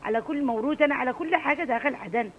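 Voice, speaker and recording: A woman's voice: high voice, racing, highs cut off, over a noisy crowd. A middle-aged woman with an Adeni accent, calling in to a radio show and speaking passionately. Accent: Adeni Arabic. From Aden, Yemen. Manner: passionate, emphatic. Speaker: radio caller. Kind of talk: call-in radio chat.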